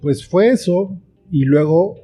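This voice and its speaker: Very mellow, dark-voiced Mexican man. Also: sits high, expressive, slow, soft background music. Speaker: interview guest, writer and filmmaker. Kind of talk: casual, reflective conversation.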